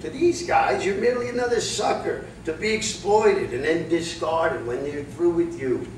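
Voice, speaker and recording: A man's voice: a little high, moderate pace, close to an everyday voice. A man playing a world-weary boxing manager in a staged reading, speaking bluntly and matter-of-factly as he lectures his fighter. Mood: blunt, resigned. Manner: blunt, cautionary, matter-of-fact. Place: live staged reading in a roomy indoor space. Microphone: distant video camera. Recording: echoey, noisy room, distant camera microphone.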